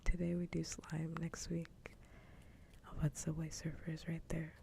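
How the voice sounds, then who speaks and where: Hushed woman whispering, dark and low-key. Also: completely flat delivery, a little slow, vocal fry, a little hiss or hum. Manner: soft-voiced, mostly whispering. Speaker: livestream ASMR host. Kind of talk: whispered ASMR, casual chat.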